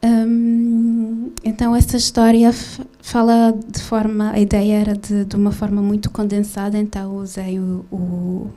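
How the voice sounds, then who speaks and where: A woman who grew up in Switzerland talks about her film at a festival session. She speaks slowly and haltingly, with many fillers and restarts. Her voice is mellow and fairly flat. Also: mid-range voice. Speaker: film director. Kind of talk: festival Q&A talk.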